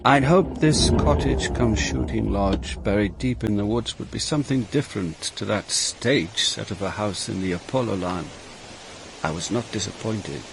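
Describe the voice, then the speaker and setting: Gravelly, world-weary detective. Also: male voice, on the low side, background noise. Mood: brooding, observant. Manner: measured, reflective, dry. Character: world-weary, observant male Dutch police inspector narrating his case. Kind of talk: radio drama, first-person detective narration.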